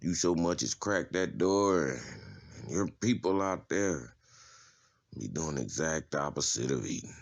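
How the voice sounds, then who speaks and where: A man's voice: rumbling low, animated, a little slow, gravelly, exaggerated voice. A man playing a tough, streetwise prison inmate in a comic-book drama, talking in a casual, slangy, profane way. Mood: blunt, crude. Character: tough, streetwise adult male prison inmate giving a tour.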